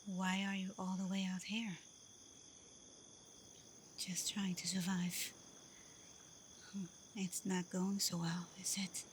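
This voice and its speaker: A woman playing a confident, teasing, protective werewolf alpha in an ASMR roleplay, speaking softly and breathily, often half-whispering, in a calm, unhurried way. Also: on the low side, a little hiss or hum. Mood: frank, sympathetic. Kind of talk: ASMR roleplay, soft intimate acting to the listener. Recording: night insects and forest ambience behind. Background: crickets, night forest ambience.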